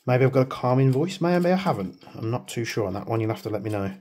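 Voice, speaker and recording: A man's voice: mid-range voice, lively intonation, fast, darkish tone. A man rambling chattily through a hands-on product review, joking about his own waffling. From United Kingdom. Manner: rambling, chatty, self-deprecating. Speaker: airsoft video maker.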